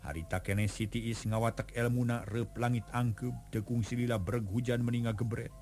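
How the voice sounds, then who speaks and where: A man narrating a folk tale in a steady storytelling voice. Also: medium pitch, moderate pace, soft background music.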